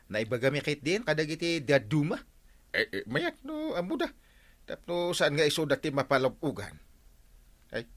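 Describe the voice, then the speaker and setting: Excitable Ilocano village captain, swooping delivery. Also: male voice, a little high. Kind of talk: Ilocano radio comedy-drama acting.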